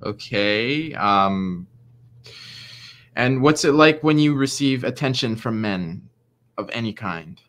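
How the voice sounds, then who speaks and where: Man acting a slow, awkward young office newcomer. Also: medium pitch, lively intonation, a bit bright. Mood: tentative. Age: young adult. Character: awkward, unsure young male new office clerk. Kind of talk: comedy web series acting.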